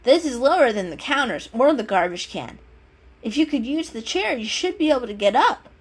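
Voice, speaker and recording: A woman's voice: on the high side, sing-song, normal speed, slightly rough, voice pushed up. A woman voicing a teasing, mischievous gray male cat in a storybook, with a casual, cheeky manner. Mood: conspiratorial.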